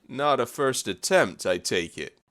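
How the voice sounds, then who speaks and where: A man's voice: medium pitch, animated, a little slow, a bit bright. An actor playing a worldly, businesslike male newspaper editor in a period audio drama, offhand and dismissive.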